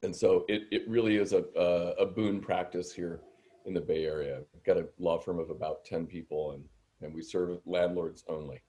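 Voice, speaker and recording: A man's voice: deep voice, steady tone, moderate pace, mellow. A man in his fifties from the Seattle area, a landlord attorney answering questions on a video-call webinar in a chatty, self-deprecating way. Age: 50s. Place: home room indoors, video call.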